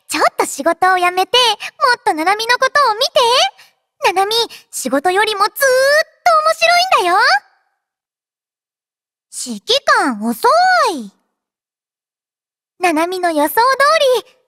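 Playful robot girl, anime game voice, extremely high-pitched, cutesy and teasing, swooping intonation, dry close recording. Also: sharp tone, dry recording, heavily acted. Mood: caring, then smug. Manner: cutesy, refers to herself in third person, teasing. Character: playful, affectionate childlike robot girl, mischievous and eager. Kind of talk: anime game character voice lines.

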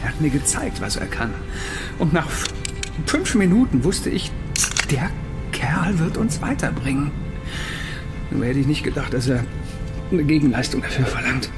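An actor in a German radio drama playing a nervous, evasive, self-important captive who stammers and repeats himself. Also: male voice, medium pitch, lively intonation, moderate pace, pauses often, over music, lightly acted. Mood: reluctant confessing.

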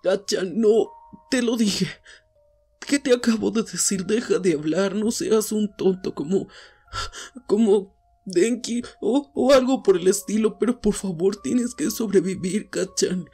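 Woman acting a desperate, husky teenage boy hero. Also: medium pitch, warm tone, faint music behind. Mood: pleading, anxious. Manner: pleading, halting, repeating words. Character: desperate, grieving teenage boy hero pleading over dying friend. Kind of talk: Spanish fandub audio drama, emotional acting.

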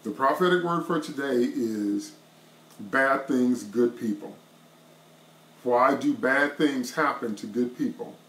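A man's voice: mid-range voice, slow, fairly bright tone. A middle-aged man preaching an online devotional sermon to a webcam from a small wood-paneled room, with an emphatic, repetitive cadence. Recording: roomy echo.

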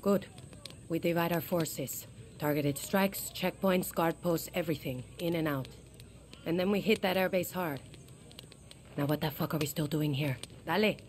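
A woman's voice: sits low, moderate pace, darkish tone, a little hiss or hum, natural voice. A woman playing a tough, determined young guerrilla leader in a video game, firm and commanding. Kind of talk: video game cutscene acting.

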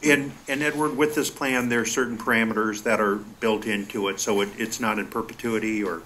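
A man on a board, formally explaining an item in a halting way with frequent hesitations. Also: fairly low pitch, steady tone, fairly bright tone, a little hiss or hum. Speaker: board member presenting an item.

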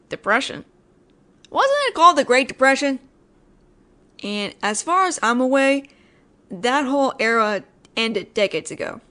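A woman's voice: on the high side, bright, forceful tone. A woman voicing a judgemental tsundere female ghost in an audio roleplay, sarcastic and nagging but secretly caring, speaking directly to the listener. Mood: sarcastic, exasperated.

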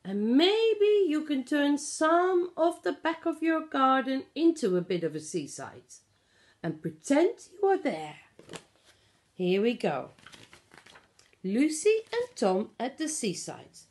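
A middle-aged woman reading a picture book aloud to young children, explaining and asking simple questions in an expressive way. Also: high voice, slow pace. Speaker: pre-school storyteller. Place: small living room at home. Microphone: phone or tablet at about arm's length.

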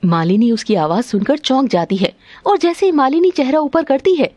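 A woman's voice: high-pitched, moderate pace, a little mellow, dry recording. A woman narrator reading a scripted story.

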